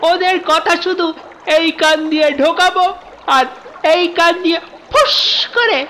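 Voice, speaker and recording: A woman's voice: very high voice, measured pace, fairly bright tone, a little hiss or hum. A woman voicing a cocky, stubborn young cartoon turtle who talks in a defiant, dismissive, grumbling way. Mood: grumbling, scheming. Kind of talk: children's cartoon dubbing. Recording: music behind the voice.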